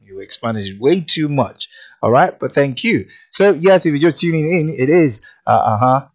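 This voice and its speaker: A Nigerian man hosts a morning radio show in a lively, teasing voice and leans hard on his points. His voice sits at a middle pitch. The sound is a bit muffled. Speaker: radio host.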